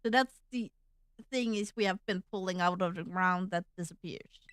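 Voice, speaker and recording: A woman streaming a video game who chats casually and reads the on-screen text aloud, slowly and haltingly, sometimes tripping over words. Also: lowish voice, a bit bright, smooth, no echo. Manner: slow, halting, trips over words while reading. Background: faint game music.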